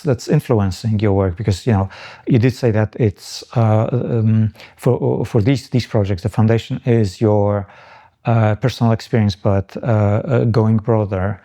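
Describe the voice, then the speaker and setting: A man's voice: mid-range voice, gravelly, mellow. A man hosting a podcast interview, asking long questions hesitantly with many fillers and restarts. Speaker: podcast host. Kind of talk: casual interview chat.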